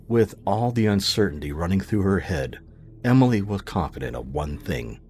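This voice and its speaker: A man reading dramatic scripted narration for a suspenseful mystery audio drama, in a measured way. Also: sits low, expressive, darkish tone, faint music behind.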